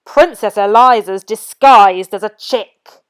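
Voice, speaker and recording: Wicked wizard villain for a children's storybook, played by a woman: loud, menacing, slow drawn-out taunts. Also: mid-range voice, smooth, no echo, natural voice, low-quality recording. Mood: triumphant chanting.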